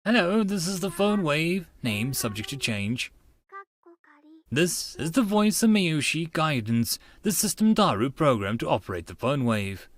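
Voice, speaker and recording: A man's voice: on the high side, sing-song, a little slow. A man voicing a cheerful young anime girl giving recorded phone instructions in a visual novel, in a sing-song way. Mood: cheerful, instructional.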